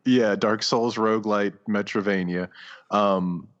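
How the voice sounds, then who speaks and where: A man's voice: fairly low pitch, fairly even intonation, unhurried. A man co-hosting a podcast, riffing casually about video games in loose, conversational phrases. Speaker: podcast co-host. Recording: slightly dull, lo-fi voice recording.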